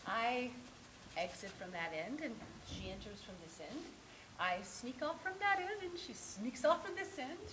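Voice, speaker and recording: An actress playing an anxious, clumsy, lovelorn woman in a theatrical monologue, halting and scolding herself with dramatic swings. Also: a little high, lively intonation. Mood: self-scolding, excited. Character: anxious, clumsy, lovelorn mistress rehearsing a dreaded phone call. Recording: echoey room with faint noise.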